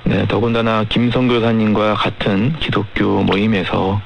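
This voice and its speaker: Low, gravelly man on shortwave radio. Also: little pitch movement, normal speed. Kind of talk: measured radio discussion. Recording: faintly noisy shortwave radio broadcast.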